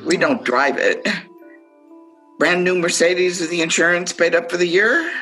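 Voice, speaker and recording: Woman playing a bright, measured sibling auctioneer. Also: lowish voice, lively intonation, faint music behind. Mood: brisk, playful. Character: brisk sibling playing auctioneer at family estate sale. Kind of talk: radio play acting.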